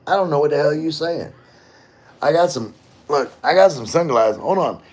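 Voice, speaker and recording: A man's voice: a little high, lively intonation, normal speed, darkish tone. A middle-aged man hosting a casual livestream, reading out viewer comments and answering them in a rambling, informal way. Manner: rambling, informal, occasionally swearing. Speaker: livestream host.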